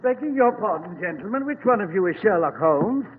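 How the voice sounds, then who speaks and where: A fawning old stranger. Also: male voice, high voice, very animated, a little fast, a little hiss or hum. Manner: obsequious, stammering, over-eager. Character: fawning, shabby old man, oddly eager. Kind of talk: 1940s radio acting. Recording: tinny old radio broadcast.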